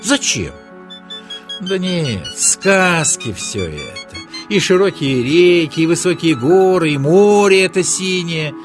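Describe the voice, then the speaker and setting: Animated, high-pitched male storyteller. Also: slow, music in the background. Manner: theatrical, wondering, playful. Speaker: narrator. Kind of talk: expressive children's story narration.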